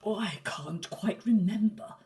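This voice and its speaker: A woman voicing a big father monster who tells his child hushed, breathy tales of a scary mouse. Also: medium pitch, takes it slowly, recorded from a distance, a little hiss or hum, voice pushed up. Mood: ominous.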